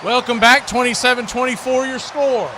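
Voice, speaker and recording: High-voiced man calling football, noisy stream. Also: fairly even intonation, moderate pace. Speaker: play-by-play football announcer. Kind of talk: excited live sports commentary.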